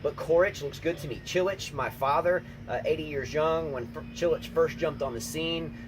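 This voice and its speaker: A man co-hosting a casual tennis chat outdoors, emphatic and repetitive, talking excitedly. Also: a little high, moderate pace. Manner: emphatic, repeats himself, excitable. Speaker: tennis channel co-host, coach. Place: outdoors, windy. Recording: noisy outdoor recording, wind.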